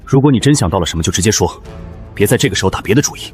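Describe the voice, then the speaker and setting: A man voicing a resolute team leader in an animated drama, firm, urgent and commanding under pressure. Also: lowish voice, rapid-fire, faint music behind, close to an everyday voice.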